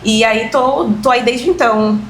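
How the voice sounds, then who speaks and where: Lively São woman telling stories. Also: on the high side, a little slow, a little hiss or hum. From São Paulo, Brazil. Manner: lively, laughing storyteller, emotional about family. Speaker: guest, dance teacher and choreographer. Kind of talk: casual interview conversation.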